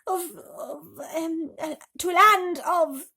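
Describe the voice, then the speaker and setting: A woman voicing a kind, gentle female ladybird from a storybook, reassuring and emphatic. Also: very high-pitched, slow, pitched above the performer's own voice, exaggerated voice.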